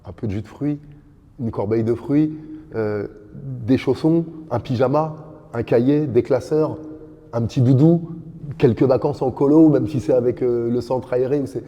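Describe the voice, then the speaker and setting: Guest, man in his fifties, earnest storyteller; mellow, husky voice with a long hall echo. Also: medium pitch, normal speed, lo-fi sound. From born in Dakar, raised in France. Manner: earnest, builds long lists with rising emphasis. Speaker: podcast guest, kickboxing champion. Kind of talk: reflective storytelling conversation.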